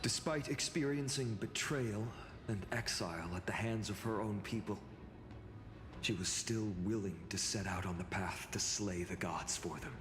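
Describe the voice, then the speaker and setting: A man's voice: on the low side, normal speed, lightly acted. An actor playing a grave, stoic male warrior in a video game, talking in a thoughtful, weighty manner. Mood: pensive. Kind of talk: video game dramatic dialogue. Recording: hall reverb, music behind. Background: game music.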